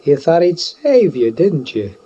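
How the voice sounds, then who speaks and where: A menacing young American handyman in vintage radio suspense. His husky voice lurches up and down as he taunts with childlike, threatening questions. He sounds unhinged and deliberate. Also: a little high, sing-song, mellow, exaggerated voice. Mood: accusing, menacing. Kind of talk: 1940s radio drama acting. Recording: old radio broadcast, slightly muffled voice.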